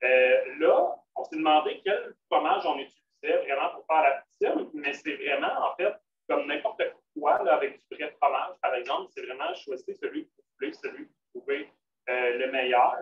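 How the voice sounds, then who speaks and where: A man's voice: on the high side, big pitch swings, moderate pace. A man with a Québécois French accent leading a live vegan cooking demonstration in a casual, filler-heavy way. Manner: casual, many fillers and restarts. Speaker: cook at a vegan restaurant. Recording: roomy, distant, dull, faint noise.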